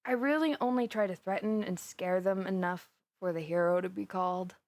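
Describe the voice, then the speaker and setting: A woman's voice: on the low side, moderate pace, natural voice. A woman voice acting a giddy, flustered young villainess who rambles and gossips to her best friend in a conversational audio roleplay. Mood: confessional, softer. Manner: gossipy, rambling, animated storytelling with giggles.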